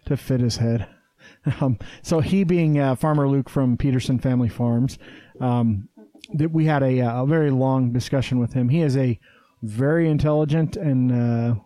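A man hosting a casual podcast, talking in a rambling, halting way with lots of fillers and restarts. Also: medium pitch, mellow, no echo. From Utah. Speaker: podcast host. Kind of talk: casual chat. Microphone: studio headset mic.

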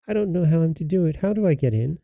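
Man playing a young storybook girl, raised pitch. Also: lively intonation, fast talker, gravelly, warm tone, no room sound. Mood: feigning confusion. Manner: pleading, earnest. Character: frightened young girl, earnest little sister.

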